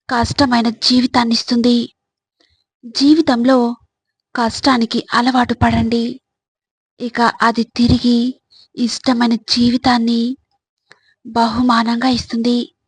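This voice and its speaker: A woman delivering scripted motivational advice in a measured, instructive way, speaking directly to the listener. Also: on the high side, slightly rough, dry recording.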